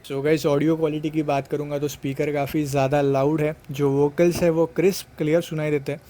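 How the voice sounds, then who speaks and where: A man's voice: a little high, flat delivery, a little fast, warm tone. A man narrating a product unboxing and review, explaining things step by step and mixing in English words.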